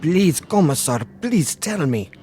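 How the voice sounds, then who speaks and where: A man's voice: a little high, very animated, unhurried. An actor playing a blunt, impatient young henchman in a radio drama, speaking in terse questions and plain remarks. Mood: anxious, curious. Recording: radio drama with music underneath.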